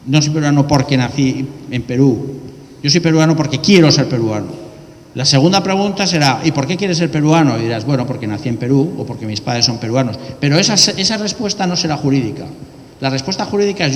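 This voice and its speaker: A man giving an academic keynote lecture on law, often posing rhetorical questions and answering them himself. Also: a little high. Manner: asks and answers his own rhetorical questions. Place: ceremonial hall in a courthouse. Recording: long reverb of a large hall.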